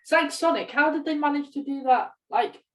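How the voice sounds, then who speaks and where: A woman's voice: sits high, a little fast, a bit bright. A woman co-hosting a podcast in casual, conversational chat. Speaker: podcast co-host. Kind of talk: casual podcast chat about films and games. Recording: echoey room, distant from mic.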